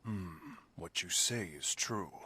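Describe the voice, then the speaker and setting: An actor playing a grave armoured giant in a fantasy game, formal and terse. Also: male voice, sits low, slow pace, bright, forceful tone, slight background noise, exaggerated voice.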